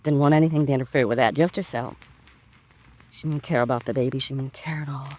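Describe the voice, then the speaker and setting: A woman's voice: very deep voice, steady tone, fast, dark tone. An actress playing a bitter, resentful woman in a 1950s radio crime drama, talking in terse, clipped colloquial phrases. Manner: terse, clipped working-class colloquial talk. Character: bitter, resentful woman blaming her neglectful sister.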